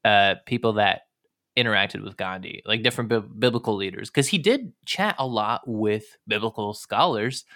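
A man with a very bright, forward voice hosts a history podcast. He talks in an enthusiastic, informal way, commenting conversationally as he goes. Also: lowish voice, expressive, normal speed. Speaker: podcast host.

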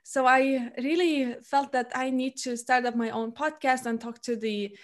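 A woman's voice: high voice, steady tone, normal speed. A young woman hosting a podcast interview, talking casually and stopping often for filler sounds. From Lithuania. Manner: hesitant, many filler sounds. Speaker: podcast host.

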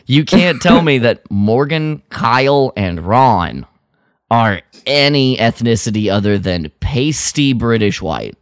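A man chats casually as a podcast co-host. His voice moves in lively swoops around a middling pitch, with a playful, exaggerated way of arguing. The sound is dry and close. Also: takes it slowly, no room sound. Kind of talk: casual chat about a radio drama.

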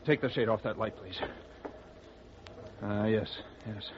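An actor playing a brisk, matter-of-fact ship's surgeon in old radio drama style. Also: male voice, lowish voice, fairly even intonation. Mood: brisk, grave. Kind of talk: 1940s radio acting. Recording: dull old radio recording with hiss.

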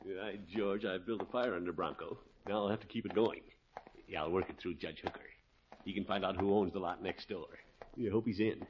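A man's voice: sits low, fairly even intonation, brisk, noisy background, natural voice. Middle-aged scheming uncle , male actor, 1950s radio comedy: blustery, exclamatory, self-satisfied, on a dull old recording. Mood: sly, scheming.

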